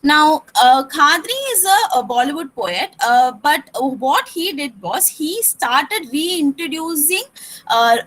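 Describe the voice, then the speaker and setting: A woman whose first language is Urdu, giving an earnest student presentation over a video call, with frequent pauses and fillers. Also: high voice, animated, a bit bright, low-quality recording.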